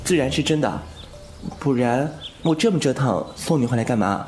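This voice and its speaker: Man acting a glib young swordsman, very lively pitch. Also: normal speed, close to an everyday voice. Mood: breezy, teasing. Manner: flippant, sardonic. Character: clever, glib, teasing young swordsman with a wounded pride. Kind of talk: Chinese wuxia audio drama acting. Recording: music under the voice.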